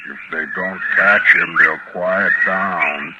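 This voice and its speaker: An actor playing an eerie, solemn, unnatural rural youth in 1940s radio drama, grave and intent. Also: male voice, low-pitched, fairly even intonation, slow. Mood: eerie, expectant. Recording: noisy, dull vintage 1940s radio recording.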